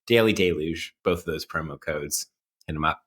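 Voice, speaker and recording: A man's voice: rumbling low, normal speed, fairly bright tone. A man hosting a casual, intellectual podcast interview, with academic vocabulary and a playfully mock-formal manner. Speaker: podcast host.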